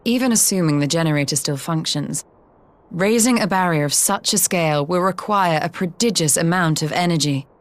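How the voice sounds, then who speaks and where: An actress playing a measured, cautious scholar in a video game cutscene, speaking formally and precisely. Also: female voice, lowish voice, close to an everyday voice. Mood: cautioning.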